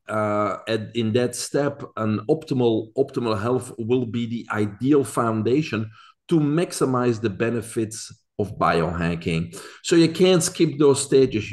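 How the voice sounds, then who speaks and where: A man in his forties from Belgium with a Belgian accent, a podcast guest explaining things earnestly with frequent fillers. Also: medium pitch. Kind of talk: casual interview talk.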